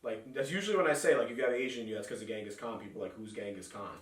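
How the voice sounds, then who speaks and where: A man's voice: medium pitch, rapid-fire, roomy sound, distant mic. A young man with a New York accent, hosting a podcast and joking around in casual, rambling chat. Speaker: podcast host.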